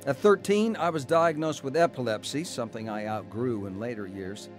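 A man's voice: medium pitch, moderate pace, soft background music, natural voice. An actor playing a wounded man who plainly and reflectively recalls his troubled farm boyhood in a radio drama testimony. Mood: reflective, hurt.

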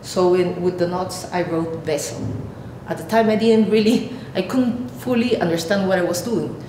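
A woman in her thirties from Peru with a Peruvian Spanish accent, an artist explaining her work haltingly, searching for words. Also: mellow. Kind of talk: explaining own artwork to camera. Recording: echoey room, clear rumbling background noise.

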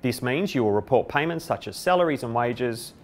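A man's voice: mid-range voice, animated, a little fast. A middle-aged man with an Australian accent, delivering a scripted explainer to camera as a company presenter. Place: indoor office by a window. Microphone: lapel mic.

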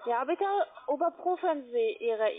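A woman's voice: high-pitched, lively intonation, measured pace. A woman with a foreign accent in German, working as a call center agent and giving instructions insistently and repetitively. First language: not German. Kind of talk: phone sales instructions. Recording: muffled narrow-band phone line.

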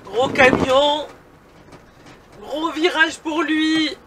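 Bright, high-pitched woman chatting on the road. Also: steady tone, drawn-out words. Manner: chatty, addressing viewers directly. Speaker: vlogger. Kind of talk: casual vlog narration while driving. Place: inside a moving or parked camper van. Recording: vehicle engine and road noise.

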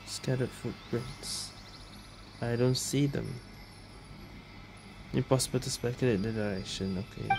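A woman's voice: very deep voice, unhurried, pauses often, rough recording. A woman doing casual let's play commentary, muttering to herself, reading out screen text and mildly swearing. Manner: muttering to self, mild swearing. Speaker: gaming streamer. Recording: loud game music behind voice. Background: video game music.

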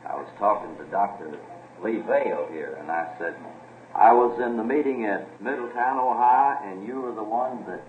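A man with a fairly low voice addresses a church as its pastor. He is earnest, wordy and deferential in an informal way. The old recording is echoey and phone-thin, with some noise underneath. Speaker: pastor introducing a guest preacher. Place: church meeting room with congregation. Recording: muffled noisy old tape, echoey hall.

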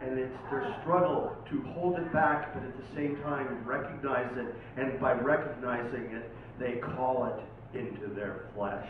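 A man performs as a poet at a live reading, his delivery dramatic and very unhurried. He is picked up from some distance in a heavily echoing space. The sound is thin and tinny. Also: medium pitch, fairly even intonation. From Oregon, United States. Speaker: poet reading own poems. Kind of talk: live poetry reading with informal introductions. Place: live poetry night venue, recorded from a distance. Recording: tinny, very echoey, distant, faint noise.

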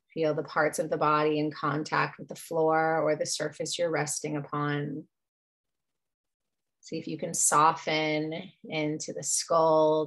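Slow, low-voiced woman guiding meditation. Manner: soothing, unhurried, with long pauses. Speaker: yoga nidra teacher. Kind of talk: guided meditation.

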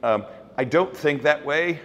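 A man's voice: on the high side, a little slow, fairly bright tone, muffled recording. A middle-aged man from South Africa with a South African accent, giving a casual keynote talk with certainty and lots of fillers. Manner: certain, blunt, many fillers and restarts. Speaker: keynote speaker. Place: large open office event space. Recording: long reverb in open room.

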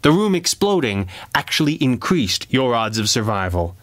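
A man's voice: medium pitch, very animated, moderate pace, fairly bright tone, dry recording, pitched above the performer's own voice. A man voicing a seasoned gangland undercover man in a crime story, with a smug, casual, needling manner.

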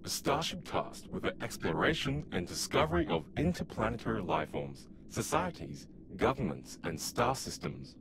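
A man voicing a formal, measured, impersonal starship computer in an audio drama. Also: medium pitch, big pitch swings, gravelly, noisy background. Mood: calm, instructive. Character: calm male starship control computer, procedural and commanding. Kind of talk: audio drama, system announcements.

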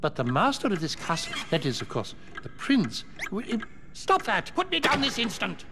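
A man's voice: sits high, wildly swooping pitch, moderate pace, big-room sound, noisy background, heavily acted. A man playing an anxious little enchanted clock butler in a video game, formal and stammering with fluster. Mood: flustered, indignant.

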